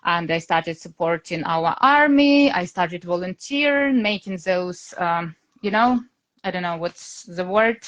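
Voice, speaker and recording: A woman's voice: on the low side, expressive, measured pace, fairly bright tone. Ukrainian-accented woman, hesitant speech. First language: Russian. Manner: hesitant, searching for words, many fillers. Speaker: guest teacher. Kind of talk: casual interview over a live stream.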